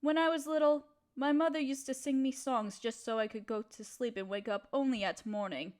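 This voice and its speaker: A woman playing a kind, proper young noble mistress in an intimate audio roleplay, speaking in formal, gently hesitant phrases to her listener. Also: high voice, steady tone, moderate pace, close to an everyday voice. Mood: shy, confiding.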